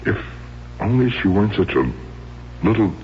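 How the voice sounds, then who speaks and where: A man's voice: on the low side, big pitch swings, normal speed. An actor playing an authoritative middle-aged doctor and father in an emphatic 1970s radio drama style. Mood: regretful. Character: authoritative doctor father of grown daughters, urgent, controlling. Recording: dull, faintly noisy old radio broadcast.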